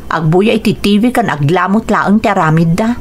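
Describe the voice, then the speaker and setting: An actress playing a resentful, nagging daughter-in-law in a radio drama, with a northern Philippine accent. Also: female voice, mid-range voice, normal speed, heavy background noise, natural voice.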